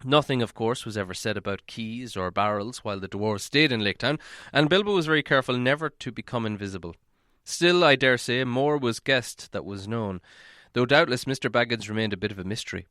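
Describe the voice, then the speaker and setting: A male audiobook reader narrates a storybook expressively. His voice sits at a medium pitch, and the sound is dry with little room around it. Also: fairly quick, a bit bright, dry recording.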